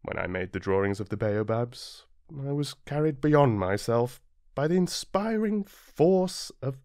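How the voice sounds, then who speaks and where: A British man reads a storybook aloud as an audiobook narrator. His delivery is very slow and deliberate, with dramatic pauses and an animated rise and fall. The sound is dry, with little room to it. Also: medium pitch, clean tone, dry recording. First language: English. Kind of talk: scripted storybook narration, read-along.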